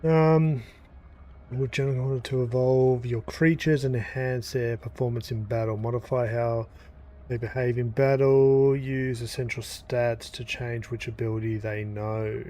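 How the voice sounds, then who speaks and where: A man casually commenting as he plays through a game, thinking aloud with pauses and fillers. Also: mid-range voice, steady tone, mellow. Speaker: gaming YouTuber. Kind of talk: casual let's-play commentary.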